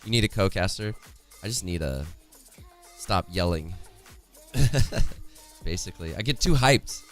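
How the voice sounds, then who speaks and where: Young guy casting esports from his room, voice shot and hoarse, low pitched, soft music under it. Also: expressive, unhurried. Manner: chatty, reads chat aloud, laughs often. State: hoarse, voice worn out. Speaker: esports caster. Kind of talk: solo esports stream casting, chatting with chat. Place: home streaming room, indoors. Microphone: studio condenser mic close in front, headphones.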